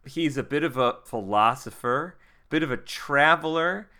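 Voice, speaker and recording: A man's voice: a little high, unhurried, pushed and bright. A man introducing his tabletop game character in casual, playful comic phrases, repeating bits for effect.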